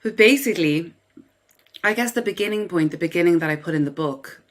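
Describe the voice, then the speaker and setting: Interview guest, female, Dublin Irish accent. Low pitch, conversational first-person storytelling. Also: fairly quick. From Dublin, Ireland. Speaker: podcast guest, writer and psychotherapist.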